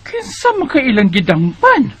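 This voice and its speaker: A woman's voice: high voice, huge pitch swings, moderate pace. A woman playing an older mother who fusses over her son and keeps asking questions, in a radio drama. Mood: concerned. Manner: inquisitive, fussing. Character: worried mother of a man searching for his family. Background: music.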